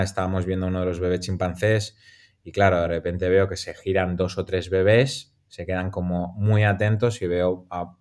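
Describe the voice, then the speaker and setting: A man with a Madrid Spanish accent, talking about his work in a relaxed interview. His voice is very low and quite monotone, and he keeps pausing, restarting and filling gaps with fillers. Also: clean tone. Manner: hesitant, many fillers and restarts. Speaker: documentary filmmaker guest. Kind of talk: casual interview conversation.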